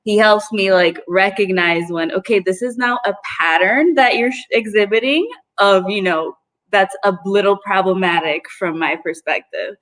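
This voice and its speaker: A woman's voice: medium pitch, moderate pace, fairly bright tone. A young woman from Puerto Rico speaking thoughtfully as a panelist, with many "like" and "you know" fillers. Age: young adult. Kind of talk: casual panel discussion.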